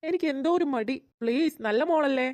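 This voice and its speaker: A performer voicing a shy young man in a cartoon, coaxing and pleading in a pitched-up voice. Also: a little fast, a little mellow, no room sound. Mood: bashful pleading. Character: shy, coaxing young bachelor older brother. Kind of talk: cartoon voice acting.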